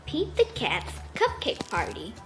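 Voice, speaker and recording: Slow, animated woman reading a storybook. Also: mid-range voice. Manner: expressive, deliberate. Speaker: children's storytime reader. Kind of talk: read-aloud storybook narration. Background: music.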